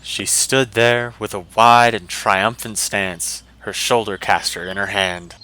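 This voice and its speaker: A man's voice: on the low side, fairly even intonation, takes it slowly, pushed and bright, rough recording. A man giving scripted narration of a fantasy adventure story.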